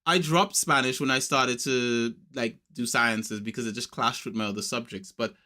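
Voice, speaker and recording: A man from Trinidad with an English accent, co-hosting a podcast in relaxed, talkative chat with many restarts. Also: medium pitch, normal speed. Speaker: podcast co-host. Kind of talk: casual chat.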